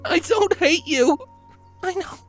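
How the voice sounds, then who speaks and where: A man voicing a caring, anxious female alicorn pony mother in a breathy falsetto for a fantasy story. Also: takes it slowly, soft background music. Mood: tender, tearful.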